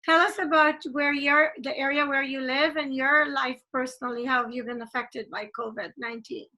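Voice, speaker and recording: A woman from Guyana hosts a video-call interview in a chatty, eager way. Her voice is high and bright, with a clear, lively edge, and her statements often rise like questions. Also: moderate pace, muffled recording. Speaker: interview host.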